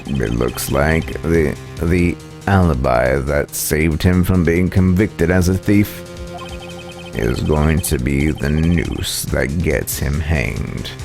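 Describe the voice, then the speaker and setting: A man's voice: very deep voice, takes it slowly, vocal fry, music in the background, heavily acted. A man voicing a smug, menacing rival prosecutor in a video game, with a drawling, taunting manner. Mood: smug, accusing.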